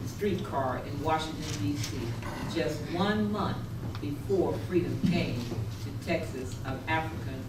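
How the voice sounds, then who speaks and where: Woman, formal scripted announcing, roomy echo, clear noise. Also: deep voice, a little slow. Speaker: readers theater reader announcing dates. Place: echoey room, live rehearsal. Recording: echoey, distant, noisy rehearsal recording.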